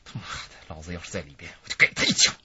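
A man's voice: low voice, very animated, rapid-fire, bright, forceful tone, husky, dull audio, full character voice. A man acting in a radio drama as an impatient, coarse, muttering criminal on the run. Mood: irritated, wary.

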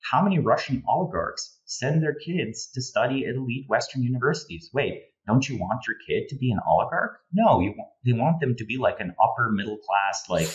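Male interview guest, thirties, Slovenian accent, deep register, explanatory and discursive, recorded a bit lo-fi over video call. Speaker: guest political analyst. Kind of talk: conversational analysis in an interview. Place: home room with bookshelves, indoors. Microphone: earphone headset on video call. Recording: slightly dull video-call audio.

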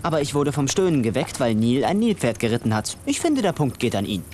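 Mann spielt peniblen, sarkastischen jungen Urlauber, sehr lebhafte Intonation. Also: male voice, medium pitch, very animated, a little fast, slight background noise, lightly acted. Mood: dry, wry. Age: young adult. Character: awkward, fretful, sarcastic young male holidaymaker. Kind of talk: German film dub, wry voice-over and banter. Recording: music behind. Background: music.

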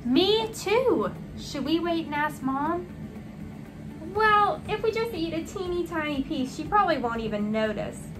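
A woman's voice: extremely high pitch, animated, measured pace, voice pushed up, low-quality recording. A woman voicing an impulsive, sheepish young schoolboy who protests defensively in a children's storybook. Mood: eager.

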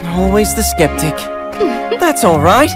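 Cocky teen boy adventurer, theatrical, boastful, very animated pitch, cartoon game acting. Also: slow pace, over loud music, heavily acted. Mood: cocky, eager.

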